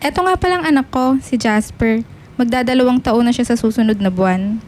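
A woman's voice: on the high side, fairly quick, a little mellow, slight background noise, close to an everyday voice. A woman acting a friendly, chatty young mother in a Filipino radio drama, easygoing and welcoming.

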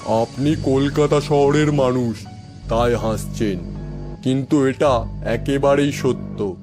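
Slow, grave station master played by a man. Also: mid-range voice, over music, lightly acted. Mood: earnest. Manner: slow, solemn, ominous delivery. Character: grave, superstitious male railway station master warning of ghosts. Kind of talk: acted dialogue in cartoon horror story.

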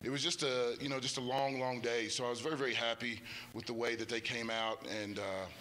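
A man's voice: medium pitch, level tone, a little fast, pushed and bright. A middle-aged man, a basketball coach, giving casual post-game answers into a handheld microphone indoors. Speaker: college basketball head coach. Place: indoors before a press backdrop. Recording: faint background noise.

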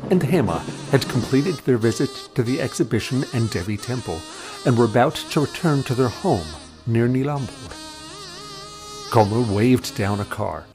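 A man narrating an audio drama as a scripted story. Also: mid-range voice.

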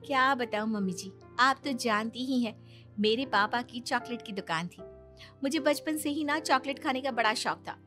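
A woman's voice: fairly high pitch, moderate pace, over music, natural voice. A woman playing a sweet, coy young daughter-in-law in a moral-story cartoon. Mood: smug, coy.

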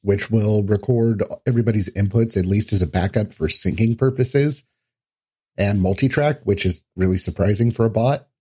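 A man's voice: fairly low pitch, normal speed, mellow, slightly rough. A man chatting casually as a podcast guest, with easygoing swearing in his conversational talk. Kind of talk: casual, profane chat.

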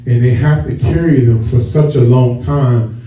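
A man's voice: mid-range voice, steady tone, unhurried, warm tone. A man preaching a sermon as a church elder, conversational and addressing the congregation directly, stretching key words for emphasis. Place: church sanctuary with stage and rows of seats. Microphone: far camera at back of hall. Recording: echoey hall from far off, muffled, noisy.

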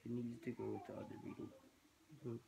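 A man's voice: medium pitch, flat delivery, fast talker, vocal fry, crowd noise. A teenage boy talking casually about his hobby while he works, stuffy with a cold and rambling as he thinks aloud. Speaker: reptile-keeping vlogger. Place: small bedroom, indoors. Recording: noisy home webcam recording.

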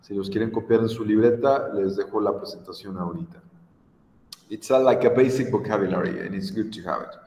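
A man's voice: on the low side, fast, warm tone, reverberant, big-room sound, poor audio. A Mexican man in his thirties with a Mexican accent, an English teacher giving an online class with patient encouragement. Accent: Mexican Spanish. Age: 30s. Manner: patient, encouraging, switches to Spanish to explain. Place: home room on a video call. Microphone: video call.

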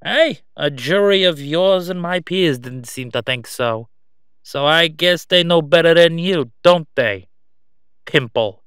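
A man plays a cocky gangster boss in an audio drama. He sneers through folksy, wisecracking patter in a clean, smooth voice, recorded dry and close. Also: on the high side, animated, slow pace, a bit bright, dry recording. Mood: smug, taunting.

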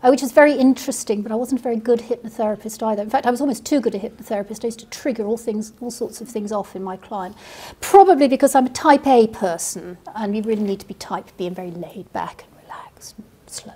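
A woman's voice: on the high side, fast, mellow, breathy edge. A middle-aged woman with a Kenyan accent, a barrister telling her story on a panel in a seminar room, wry and self-deprecating. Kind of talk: panel conversation.

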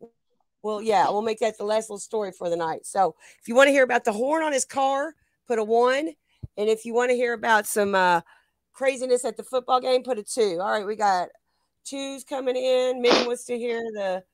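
A woman's voice: fairly high pitch, moderate pace, no room sound. A woman co-hosting a livestream, chatting informally, reading viewer comments and encouraging her partner along. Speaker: livestream co-host. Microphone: remote livestream call.

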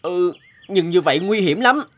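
An actor playing a poor, earnest young male scholar in an animated fairy tale, kind-hearted and plaintive. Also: mid-range voice, normal speed, natural voice. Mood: worried.

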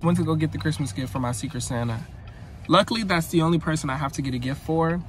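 A man's voice: on the high side, fast talker. Young man vlogging from his car. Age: young adult. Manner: chatty, laid-back, self-mocking. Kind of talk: casual vlog chat. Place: parked car interior. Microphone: phone held at arm's length. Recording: noisy car interior.

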